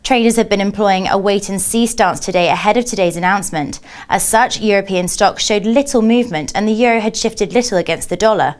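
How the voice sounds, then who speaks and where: A woman's voice: medium pitch, brisk, hardly any pauses. A woman working as a television journalist, delivering scripted questions in a broadcast news interview.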